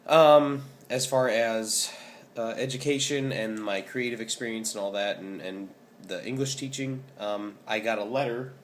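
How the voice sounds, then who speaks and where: A young man in his twenties with a scratchy, hoarse voice, talking casually in a rambling vlog update with many ums. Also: lowish voice, measured pace. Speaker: vlogger.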